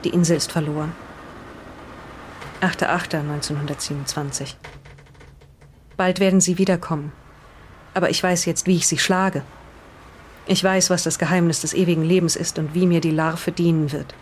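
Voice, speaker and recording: A woman's voice: fairly low pitch, steady tone, normal speed, pauses often, lightly acted. A woman playing an uneasy 1920s witness to occult events in an audio drama, with faint noise behind. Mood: solemn, ominous. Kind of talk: in-character audio drama retelling.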